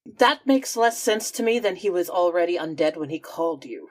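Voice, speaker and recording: A woman's voice: on the low side, expressive, normal speed. A woman playing a tabletop roleplaying game, improvising casually with terse, wry remarks.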